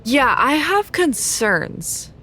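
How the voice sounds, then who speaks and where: A woman's voice: sits high, sing-song, extremely slow, sharp tone, breathy edge, heavily acted. An actress voicing a sarcastic young female wrestler in a video game cutscene, talking in a dry way. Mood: wry.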